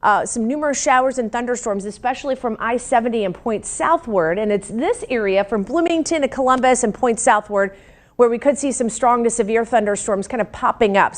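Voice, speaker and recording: A woman with an American accent presenting a live TV weather forecast and news in a broadcast style. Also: a little high.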